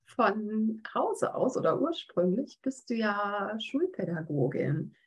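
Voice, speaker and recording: Breathy, upbeat female podcast host. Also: medium pitch, very animated, takes it slowly. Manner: upbeat, laughs often. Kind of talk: podcast interview hosting.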